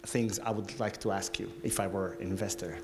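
A man's voice: deep voice, fairly even intonation, brisk. A man in the audience asking a provocative, playful question at a talk, acting out hypothetical scenarios. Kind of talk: conference Q&A. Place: gallery lecture room.

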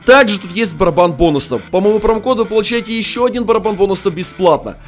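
A man's voice: high voice, lively intonation, fast, soft background music. A young man making a gaming video at home on a headset, hyped and full of amazed exclamations, sounding a little sick. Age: young adult. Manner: hyped, exclaims in amazement, pushes likes and promo codes. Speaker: mobile game youtuber. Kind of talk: excited gaming video commentary. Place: small room at home, indoors.

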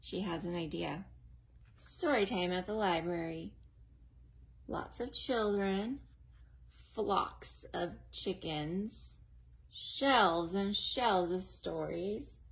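A woman in her forties reading a picture book aloud to young children in a slow, exaggerated, sing-song way, recorded on a close webcam at home. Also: on the low side, vocal fry, poor audio. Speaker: children's librarian. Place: living room at home, then a library.

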